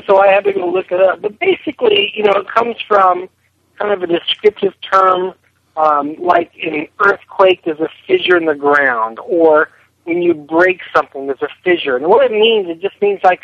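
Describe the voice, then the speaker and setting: A man's voice: high-pitched, gravelly, no room sound. A man being interviewed as a film director, answering conversationally over a telephone line. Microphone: phone.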